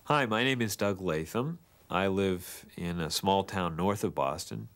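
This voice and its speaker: Deep-voiced New man. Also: expressive, a little slow, fairly bright tone. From New England. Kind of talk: self-introduction.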